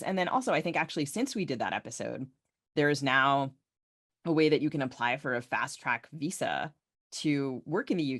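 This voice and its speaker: A woman hosting a podcast interview, talking informally and circling her questions with asides. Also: very deep voice. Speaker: podcast host. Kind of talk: casual interview talk.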